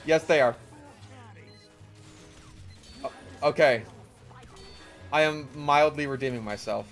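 A man giving joking gameplay commentary, sarcastic, swearing often, swinging between low deadpan mumbles and loud outbursts. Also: takes it slowly, soft background music. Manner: swears often, riffs on memes and cartoon bits. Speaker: gaming channel host.

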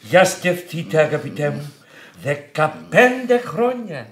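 Pompous male chairman, effusive, florid, theatrical radio play, highish pitch, forceful bright tone. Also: big pitch swings. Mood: self-satisfied. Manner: florid, gushing, formal.

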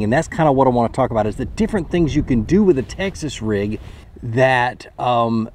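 A man's voice: mid-range voice, a little mellow. Man, thirties, casual fishing tutorial, outdoors on a lake, faint wind noise. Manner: chatty, explanatory, with restarts and hesitations. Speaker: fishing channel host. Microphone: camera mounted close in front.

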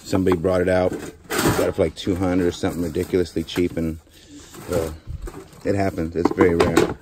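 Chatty storage-hunter guy, lowish pitch, recorded on camera in a noisy unit. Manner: chatty, speculating, quick pitch jumps when excited. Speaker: storage auction vlogger. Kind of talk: casual chat while sorting a storage unit.

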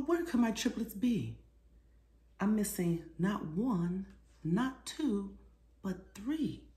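A middle-aged woman reading a children's storybook aloud expressively, pausing to put questions to her young listeners. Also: mid-range voice, mellow. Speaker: children's author reading her own book. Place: small living room, seated on a sofa. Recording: roomy indoor echo.

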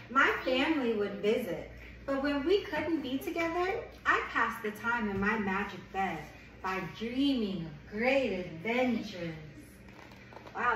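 A woman's voice: on the high side, a bit bright. A woman reading and chatting to young children in an enthusiastic, encouraging manner, often repeating words for them. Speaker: host of a children's read-aloud chat. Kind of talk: picture-book read-aloud and chat for young children. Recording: echoey noisy room, distant mic.

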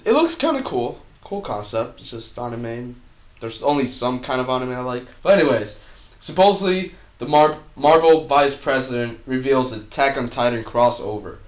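A man's voice: mid-range voice, animated, normal speed. A young man from Puerto Rico co-hosting a casual podcast, reading out news items and cutting in on his partner. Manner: reads out news items, cuts in with "alright" and "sir". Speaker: podcast co-host. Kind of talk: casual chat. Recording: echoey room.

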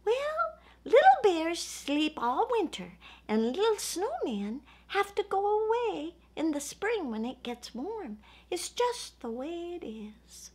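A woman voicing a high sing-song little snowman. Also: big pitch swings, slow pace, a little mellow, exaggerated voice. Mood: soothing. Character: gentle, cheerful little snowman friend.

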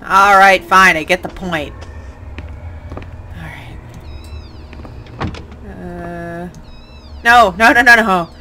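A woman's voice: lowish voice, drawn-out words, pauses often, background noise. A woman chatting casually as she plays a video game, reacting in short muttered remarks and exclamations. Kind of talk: casual let's play chat while playing.

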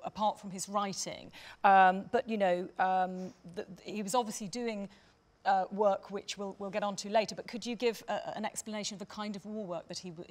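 A woman in her forties chairing a panel discussion, phrasing things tentatively and often repeating words. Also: mid-range voice. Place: stage panel before dark curtains, indoors.

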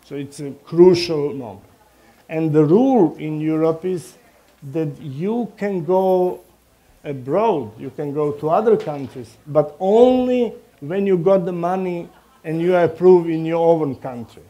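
Slow-talking older man with Croatian accent. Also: on the high side, warm tone, lo-fi sound. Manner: halting, non-native English phrasing. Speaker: film director and professor speaking at a talk. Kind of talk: informal public talk with anecdotes. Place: medium-sized indoor meeting room before a small audience. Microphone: headset microphone.

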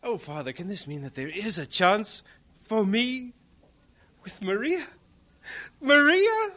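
Stammering, lovestruck young English missionary, bright voice. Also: male voice, sits high, sing-song, a little hiss or hum, full character voice. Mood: overjoyed. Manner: halting, stammering, fervent. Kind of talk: dramatised radio-play acting.

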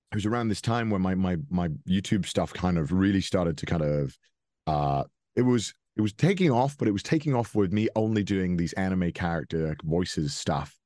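Casting: young Welsh man, very low voice, dry close sound, loose rambling podcast guest. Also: darkish tone, no echo. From Wales. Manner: rambling, frequent restarts and fillers, chuckles often. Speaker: interview guest. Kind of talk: casual interview.

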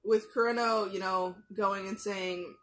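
A woman's voice: medium pitch, steady tone, a bit bright, rough recording. A young woman in a small bedroom chatting casually about an episode she is watching, full of fillers and restarts. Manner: chatty, many fillers and restarts, quick asides. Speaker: anime reaction youtuber. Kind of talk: casual rambling commentary. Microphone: gaming headset mic.

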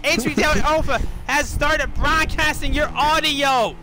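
A man's voice: extremely high pitch, steady tone, slow, sharp tone, rough recording. A man streaming a game, talking casually in a blunt, profane, argumentative way. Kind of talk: casual gaming chat.

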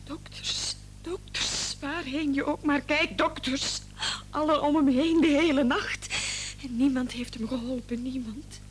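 Radio drama: distraught wife, high voice, tearful and accusing, faint noise on the recording. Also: female voice, fairly even intonation, unhurried. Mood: anguished grief. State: tearful, anguished. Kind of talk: Dutch-language radio play acting.

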